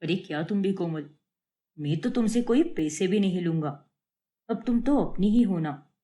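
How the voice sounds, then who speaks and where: A woman's voice: lowish voice, lively intonation, a little slow, warm tone. A woman voicing a kind, easygoing young male shopkeeper in a cartoon story, casual and reassuring. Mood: generous.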